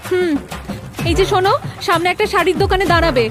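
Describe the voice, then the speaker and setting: A woman's voice: very high-pitched, fairly even intonation, fast talker. A woman playing a vain, nagging young wife in a comic cartoon, with a dramatic scolding manner. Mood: bossy. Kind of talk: exaggerated comic cartoon acting. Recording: music behind the voice.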